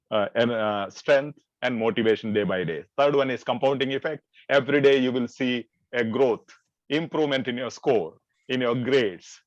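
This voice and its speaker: A man's voice: mid-range voice, no echo, dull audio. Earnest man giving study advice. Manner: earnest, advisory, uses numbered principles. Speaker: teacher and guest speaker. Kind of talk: panel talk on a video call.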